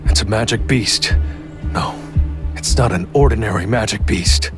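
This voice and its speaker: An actor playing a calm, stoic young hunter and leader, speaking tersely in a game cutscene. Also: male voice, on the low side, moderate pace, natural voice. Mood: calm, serious. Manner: terse, composed. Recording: music behind the voice.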